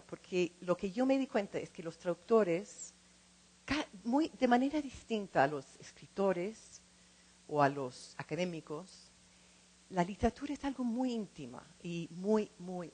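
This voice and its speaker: Halting middle-aged woman, non-native speaker. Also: on the low side, sing-song, darkish tone, slightly rough, poor audio. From lives in California. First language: not Spanish, speaks it as a second language. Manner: halting, searching for words, repeats words. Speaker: translator and program co-director. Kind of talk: informal public talk.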